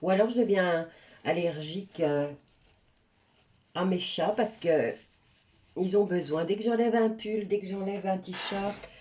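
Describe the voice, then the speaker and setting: A woman's voice: mid-range voice, unhurried, pauses often, dark tone, dull audio. A woman with a stuffy cold doing a casual tarot reading for viewers, chatty and rambling with many hesitations. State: stuffy nose from a cold, coughing. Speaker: tarot card reader.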